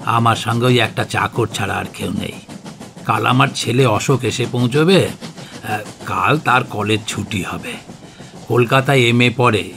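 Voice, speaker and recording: Man acting creaky-voiced, halting middle-aged father. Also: mid-range voice, fairly bright tone, slight background noise. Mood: casual, weary. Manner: hesitant, halting, repeats words. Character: kindly, fussy middle-aged widowed father on holiday. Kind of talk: Bengali radio drama acting.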